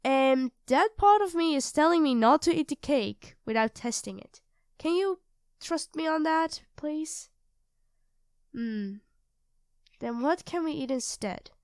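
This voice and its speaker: A woman's voice: high-pitched, lively intonation, a little slow, no room sound, studio quality. A woman playing a sweet, self-doubting young girlfriend in an intimate ASMR roleplay, speaking hesitantly and earnestly to her partner. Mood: uneasy, earnest. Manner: hesitant, soft-spoken, earnest, addressing a partner directly.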